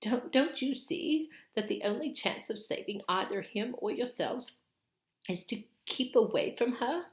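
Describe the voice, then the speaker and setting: Woman voicing a high, fretful Mrs. Beaver, storybook wife. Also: lively intonation, measured pace, reverberant. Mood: alarmed. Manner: emphatic, urgent warning.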